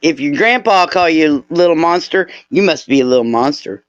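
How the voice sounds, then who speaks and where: A woman's voice: low-pitched, lively intonation, moderate pace, no echo, lo-fi sound. A woman on a casual live-stream chat, tossing in blunt, joking asides.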